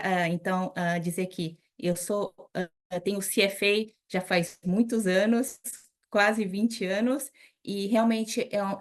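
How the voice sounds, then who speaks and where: A woman with a Brazilian accent moderates a panel interview. Her voice sits at a middle pitch. She is friendly and pauses often with small fillers. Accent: Brazilian Portuguese.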